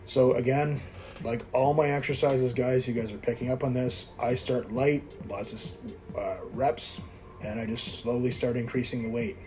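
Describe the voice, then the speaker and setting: A man's voice: mid-range voice, steady tone, normal speed, a little mellow, faint music behind, low-quality recording. Blunt, self-mocking man in his fifties. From Quebec, Canada. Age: 50s. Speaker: fitness vlogger. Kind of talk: casual workout commentary. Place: indoor gym.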